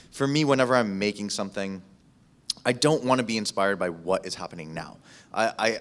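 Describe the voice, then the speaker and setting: A low-pitched man talks casually as a podcast producer on a panel. His delivery is halting, with words repeated while he finds his thought. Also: fairly bright tone.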